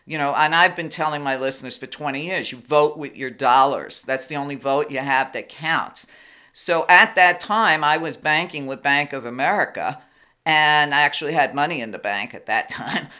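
A woman hosting a radio talk show, with a loud voice, telling stories with emphatic, quick asides. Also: low voice. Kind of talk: casual radio interview.

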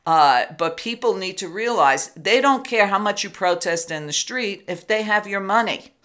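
Loud, bright-voiced woman radio host. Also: mid-range voice, expressive. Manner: emphatic, storytelling, quick asides. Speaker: radio talk show host. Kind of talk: casual radio interview.

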